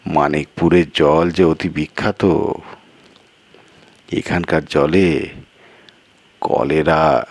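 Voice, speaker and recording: A man's voice: a bass voice, no room sound, pitched below the performer's own voice, full character voice. A man voicing a sly, evasive village local in a storytelling piece, with a drawling, folksy and boastful manner. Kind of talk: acted storytelling dialogue.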